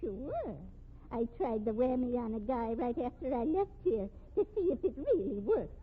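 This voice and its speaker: A woman's voice: fairly high pitch, sing-song, moderate pace, a little hiss or hum. An actress in a 1950s radio mystery playing a feeble-minded flower-selling woman, gleeful and simple, with uneducated speech. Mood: eerily pleased. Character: feeble-minded, eccentric flower-seller woman boasting of curses. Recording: tinny band-limited old radio recording.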